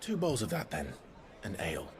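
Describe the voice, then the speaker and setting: An actor playing a calm, dry-witted young nobleman in a video game, understated and measured. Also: fairly low pitch, some chatter behind. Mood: friendly, wry. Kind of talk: naturalistic video game acting.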